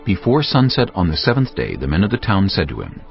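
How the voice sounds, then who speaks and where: A man's voice: low-pitched, wildly swooping pitch, quick pace, vocal fry. A man narrating scripture in a dramatic, scripted style. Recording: dull lo-fi audio with music. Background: music.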